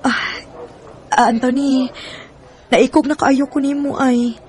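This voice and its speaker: A woman's voice: high voice, steady tone, slow, darkish tone. A woman acting a tender, long-suffering young mother in a Bisaya radio drama, with a Cebuano accent. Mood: worried, grateful.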